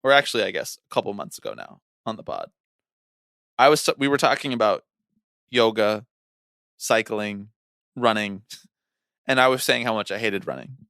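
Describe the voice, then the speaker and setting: A man co-hosts a podcast in an animated, chatty way, with pitch that moves around a lot. He keeps it casual and jokey, and the recording is dry with hardly any room sound. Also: moderate pace, gravelly, pauses often, no echo. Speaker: podcast co-host.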